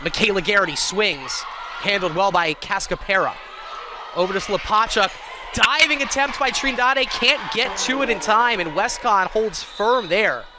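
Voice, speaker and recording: A man calling live college volleyball play-by-play in an excitable, self-deprecating way. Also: high-pitched. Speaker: play-by-play sports commentator. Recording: clear gym noise, bouncing balls. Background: indoor volleyball match ambience.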